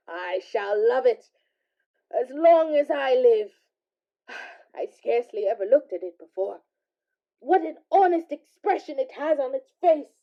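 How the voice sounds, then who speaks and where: A woman's voice: sits high, lively intonation, slow pace, long pauses, highs cut off, heavily acted. A woman playing an overjoyed, eager old reformed miser in a radio play, effusive and theatrical. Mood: delighted, eager. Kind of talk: stage-style radio play acting.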